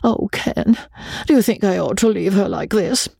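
A woman's voice: mid-range voice, lively intonation, normal speed, gravelly, mellow, breathy edge, dry recording, voice pushed up. A woman reading a story voices a tearful, emotional young daughter pleading with her mother.

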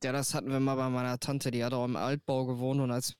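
Young male co-host, clear resonant voice, dry sound, relaxed banter. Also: medium pitch, quick pace, no echo. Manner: laid-back, joking, colloquial. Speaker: podcast co-host. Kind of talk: casual chat over a voice call. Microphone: voice call over the internet.

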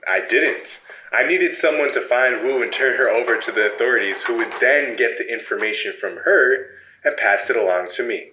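Brief: male actor as a cool, condescending operative in an audio drama, tense bright tone, roomy sound. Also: medium pitch, normal speed, a little hiss or hum, lightly acted. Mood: smug. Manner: calm, dismissive, condescending. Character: cold secretive male code-editing power agent.

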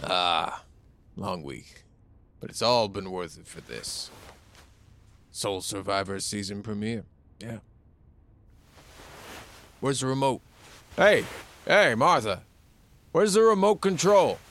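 Gravelly, muttering couch-potato alien husband. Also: male voice, lowish voice, animated, slow, long pauses, a bit bright, slight background noise, full character voice. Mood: weary, satisfied.

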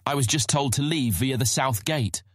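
A man's voice: medium pitch, moderate pace, dry recording, clean studio sound, lightly acted. Man playing an earnest, guarded young fantasy knight. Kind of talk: dramatized fantasy audiobook acting.

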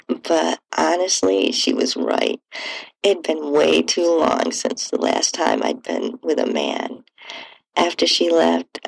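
Husky, creaky-voiced woman narrating slowly. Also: deep voice, no echo, low-quality recording. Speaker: podcast host and narrator. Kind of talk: intimate first-person audiobook-style story narration.

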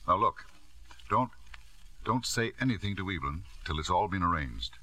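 A man's voice: deep voice, expressive, normal speed, bright, forceful tone, full character voice. An actor in a 1950s radio drama playing a devoted, driven father who speaks emphatically and repeats himself. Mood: urgent, determined. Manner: emphatic, repeats himself, falters when anxious. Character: devoted, driven father of a sick son, narrating his past. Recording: vintage radio broadcast with music.

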